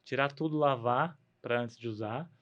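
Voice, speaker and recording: A man's voice: mid-range voice, moderate pace, lo-fi sound. A man with a Brazilian Portuguese accent, explaining health advice conversationally as a guest doctor, often adding little tag questions. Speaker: guest doctor, ear, nose and throat specialist.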